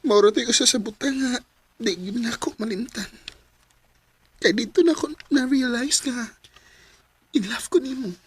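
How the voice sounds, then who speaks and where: A woman playing a young lovelorn Cebuano character in an emotive radio drama, with a breathy, husky voice and a Cebuano accent. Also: a little high, moderate pace, long pauses. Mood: pained, confessing. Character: young lovelorn Cebuano confessing long-hidden love.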